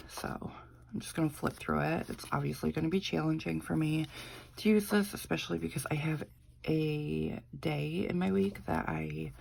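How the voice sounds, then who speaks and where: A woman's voice: low voice, gravelly, darkish tone, slight background noise, low-quality recording. A young woman, sick and congested, chatting casually with lots of fillers while doing a planner vlog. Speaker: planner vlogger. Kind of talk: casual chat.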